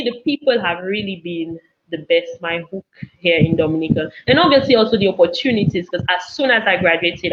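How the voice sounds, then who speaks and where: A young woman, chatting casually as a guest and telling personal anecdotes in an animated way, acting out bits of dialogue. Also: medium pitch. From Cameroon. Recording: dull, lo-fi audio.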